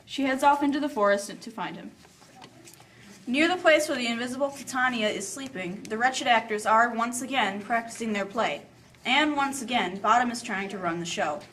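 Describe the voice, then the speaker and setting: A woman with a fairly high voice narrates a scripted story, dropping in playful asides. She sounds a little removed from the microphone, with faint noise behind her. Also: normal speed, a bit bright. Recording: slightly distant, faint background noise.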